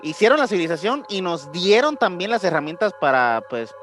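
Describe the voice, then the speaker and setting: A man's voice: sits high, sing-song, a little fast, fairly bright tone, faint music behind. A man with a Mexican accent chatting casually on a podcast in slangy speech, repeating words as he thinks aloud. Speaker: podcast co-host. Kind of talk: casual conspiracy podcast chat.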